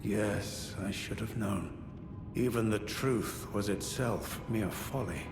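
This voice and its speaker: Slow, low-voiced aging knight. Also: male voice. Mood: grave, bitter. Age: older. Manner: measured, formal, ruminative. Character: aging honorable male warrior knight, weary, grave, courteous. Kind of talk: dramatic fantasy video game dialogue. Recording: echoey hall with long reverb, music behind.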